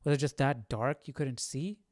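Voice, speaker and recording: A man's voice: mid-range voice, normal speed, darkish tone, no room sound. A man hosting an informal livestream study, reading aloud and musing as he comments into a close microphone. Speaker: livestream host. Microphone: close microphone with windscreen.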